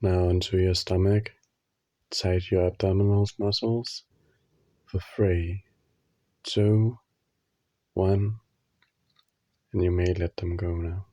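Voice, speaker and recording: A man leading a guided sleep meditation in a soothing, instructional manner, leaving long pauses between short phrases. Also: low voice, steady tone, dark tone, no room sound.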